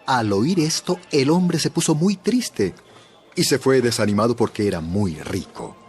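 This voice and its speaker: Measured male Bible narrator over prominent music. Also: medium pitch, very animated. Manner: measured storytelling. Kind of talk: scripted dramatized Bible narration.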